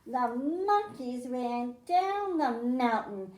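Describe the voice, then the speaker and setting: A woman's voice: fairly high pitch, very animated, slow pace, darkish tone, roomy sound. A woman librarian reading a picture book aloud to children, stressing the m sounds in a sing-song storytime way, then explaining a science activity. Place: library room.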